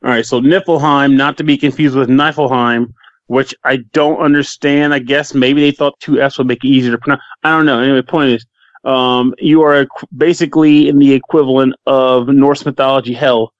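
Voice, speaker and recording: A man's voice: medium pitch, steady tone, moderate pace, no echo, dull audio. A man co-hosting a casual podcast, rambling and swearing freely as he rants and jokes. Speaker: podcast co-host.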